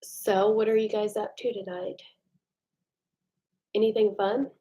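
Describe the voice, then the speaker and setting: A woman's voice: medium pitch, level tone, unhurried, warm tone. Chatty American woman hosting a livestream. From United States. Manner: chatty, self-deprecating, reads viewer comments aloud. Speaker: livestream host. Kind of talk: casual live chat with viewers. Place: home at night.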